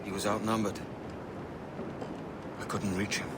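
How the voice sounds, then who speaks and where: A man as a low-voiced pirate captain. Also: little pitch movement, unhurried, very noisy, lightly acted. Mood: grim. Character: roguish, world-weary pirate captain, brooding and reflective. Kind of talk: video game cutscene acting. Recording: loud ambience and music behind.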